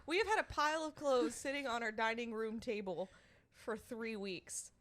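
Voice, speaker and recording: A woman's voice: fairly high pitch, moderate pace, fairly bright tone. A woman co-hosting a casual podcast chat, teasing and laughing often. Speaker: podcast co-host.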